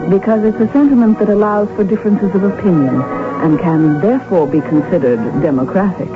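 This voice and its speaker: An actress playing a poised, witty, self-deprecating young countess in a radio drama, speaking formally with arch, precise wording. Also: female voice, mid-range voice, a little fast, natural voice. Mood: playful, deferential. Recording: tinny vintage radio broadcast, music behind.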